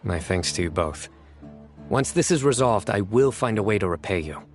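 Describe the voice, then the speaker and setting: A man voicing a stern, reserved young desert lawman in a video game, terse and measured, with music behind. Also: lowish voice, expressive, natural voice. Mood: grave.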